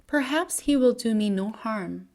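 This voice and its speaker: A woman voicing a gentle, devoted young daughter in a fairy tale, earnest and polite. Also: a little high, lively intonation, a little slow.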